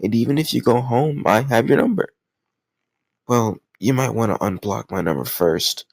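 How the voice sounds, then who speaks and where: A lovesick, obsessive kidnapper acted by a man speaks in an intimate audio roleplay. His voice has plenty of creak and sits in a very dry space. He is sweet and earnest, reassuring his captive crush in an awkward, doting, conversational manner. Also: medium pitch, normal speed, no room sound. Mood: gentle, reassuring.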